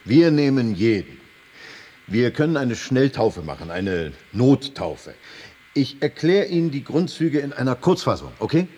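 A prison chaplain in a radio play, ringing in a long reverb. His voice is husky and very animated. He is brisk and businesslike, handing out doctrine in short, glib, teacherly pieces. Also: medium pitch. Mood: brisk, instructive. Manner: short didactic phrases, checking with "okay". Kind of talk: German radio drama acting.

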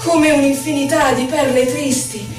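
An actress playing a melancholy poetic voice of exile in a lyrical, theatrical recital over violin. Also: female voice, high-pitched, fairly even intonation, normal speed, close to an everyday voice. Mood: wistful, melancholic. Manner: lyrical, dramatic, declaimed delivery. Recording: very echoey, distant voice under violin music. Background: violin music.

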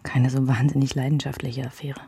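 A woman's voice: a bass voice, little pitch movement, a little fast, vocal fry, mellow, slightly rough, no echo, heavily acted. An actress playing a wistful, candid woman recalling a love triangle in intimate, reflective first-person radio drama narration. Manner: reflective, alternates German with English phrases.

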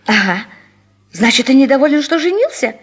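An actress playing a nagging, wounded wife in a radio comedy sketch, plaintive and theatrically insistent. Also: female voice, high-pitched, big pitch swings, normal speed, husky, full character voice. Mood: reproachful. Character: nagging, wounded wife fishing for reassurance from her husband.

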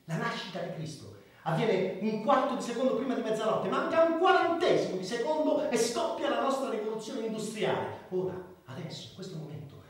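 A man acting in a radio drama as an idealistic doctor, orating with emphatic pauses like a public crusader. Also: high-pitched. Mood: solemn warning. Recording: very echoey hall, far from the mic.

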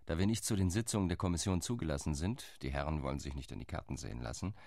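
A man's voice: a bass voice, lively intonation, very fast, gravelly, a little mellow, no room sound, studio quality, exaggerated voice. An actor playing a male envoy lobbying diplomats, speaking in an earnest, reflective radio play monologue.

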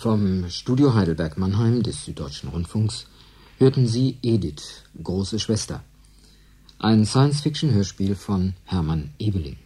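A man with a husky voice reading formal scripted credits as a radio announcer. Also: lowish voice, lively intonation, measured pace, dark tone.

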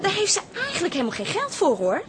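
An actress playing an earnest, sensitive young woman in a radio drama, gently questioning. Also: very high voice, wildly swooping pitch, fast, background noise, full character voice. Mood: cautioning. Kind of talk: naturalistic radio drama acting.

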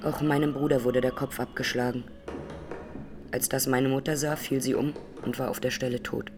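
An actress playing a grieving Armenian massacre survivor who testifies in a radio drama courtroom, plainly, solemnly and with measured words. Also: female voice, extremely low pitch, steady tone, over music. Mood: grave, sorrowful.

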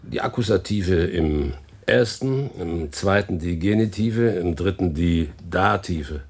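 Deep-voiced, calm studio technician. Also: male voice, slow pace, husky, full character voice. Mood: calm, businesslike. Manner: matter-of-fact, orderly. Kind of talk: radio drama acting.

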